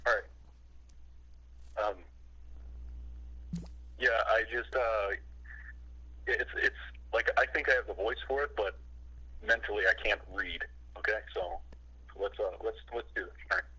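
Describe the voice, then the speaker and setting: A young man talking casually, then reading aloud in a halting, self-conscious way over a voice call. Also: fairly low pitch, steady tone, bright, forceful tone, breathy edge, poor audio. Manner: hesitant, stumbling, self-conscious. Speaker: reader of creepypasta-style logs.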